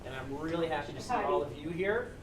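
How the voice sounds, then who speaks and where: A man's voice: fairly high pitch, lively intonation, quick pace, recorded from a distance, very noisy. A middle-aged man presenting at a school committee public meeting, earnest and thankful, with some restarts. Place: school building meeting room.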